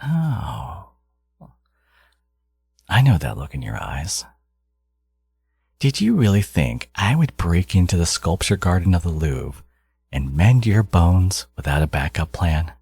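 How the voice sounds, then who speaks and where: A smug supervillain genius, acted out by a man, speaks extremely low and slow in an intimate audio roleplay. His delivery is a theatrical, teasing drawl with wide swings in pitch. He taunts his hero with possessive, doting arrogance. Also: very animated, vocal fry, dark tone, husky, dry recording, heavily acted. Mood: teasing, menacing.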